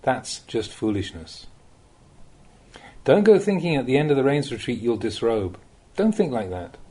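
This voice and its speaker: Expressive, mellow-voiced man reading Buddhist teachings. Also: mid-range voice, normal speed, breathy edge. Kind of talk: reading a recorded Dhamma talk aloud.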